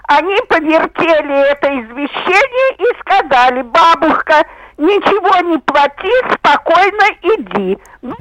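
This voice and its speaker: A woman in her eighties calling a radio show by telephone, telling her personal problem step by step in a deliberate way. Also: extremely high pitch, very animated, fairly bright tone, slight background noise. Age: 80s. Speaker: phone-in caller. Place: on the phone. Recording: telephone call on a radio broadcast.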